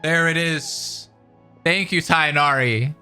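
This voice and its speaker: A man who streams games, giving casual, chatty, expressive live commentary as he plays. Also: fairly high pitch, takes it slowly, faint music behind. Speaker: gaming reaction streamer.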